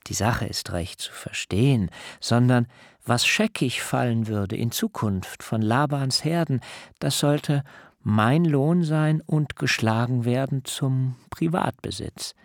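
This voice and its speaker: Ein Schauspieler spielt in einem literarischen Hörspiel einen alternden, beharrlichen Hirten, der getragen und in altertümlichen Wendungen spricht. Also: male voice, mid-range voice, no room sound, clean studio sound. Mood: explaining. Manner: measured, solemn, archaic phrasing. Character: aging herdsman, long-serving nephew, deliberate and insistent. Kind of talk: literary radio drama acting.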